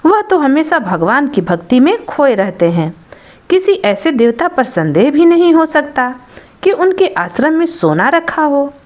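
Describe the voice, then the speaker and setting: A woman's voice: high-pitched, sing-song, unhurried. A woman voicing a gullible, devout rich merchant with an earnest manner in a folktale. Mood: trusting.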